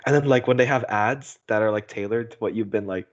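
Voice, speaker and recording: A man's voice: on the low side, a little fast. A young man chatting casually and in a rambling, giggly way as a podcast host on a voice call. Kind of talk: casual chat. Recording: dull, lo-fi call audio.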